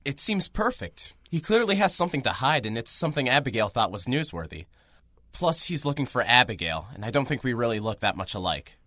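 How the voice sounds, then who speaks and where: A man's voice: medium pitch, big pitch swings, a little fast, bright, forceful tone, no echo. A young man narrating an audio drama in first person as a reporter, dry and matter-of-fact. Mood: wry. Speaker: narrator and lead character, a young radio reporter.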